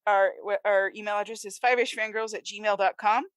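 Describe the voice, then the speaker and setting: A woman's voice: mid-range voice, steady tone, fairly quick, clean tone, no room sound, studio quality. An American woman co-hosting a podcast in casual chat, with folksy turns of phrase. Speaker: podcast co-host.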